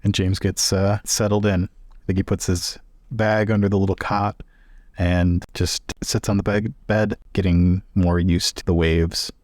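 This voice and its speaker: Deep-voiced man playing a tabletop game. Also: level tone, unhurried, darkish tone, dry recording, clean studio sound. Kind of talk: casual game chat and narration.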